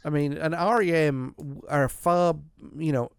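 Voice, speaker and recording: A man's voice: on the high side, measured pace, vocal fry, clean tone, dry recording, clean studio sound. A man hosting a podcast, chatting casually about music in a rambling way with lots of restarts. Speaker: podcast host.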